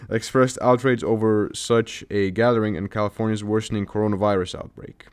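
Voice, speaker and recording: Guy with a lowish smooth voice rambling through a news story, lots of uhs, mocking tone. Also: fairly even intonation, moderate pace. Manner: rambling, many fillers and restarts, mocking. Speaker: commentary YouTuber. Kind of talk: casual commentary reading a news article.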